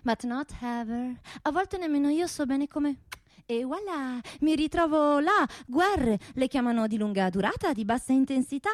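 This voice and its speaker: A woman's voice: high-pitched, moderate pace, dry recording, clean studio sound, pitched above the performer's own voice, natural voice. A woman playing a vain, seductive female pistol, a self-important world traveller in a theatrical monologue that slips into English. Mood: boastful.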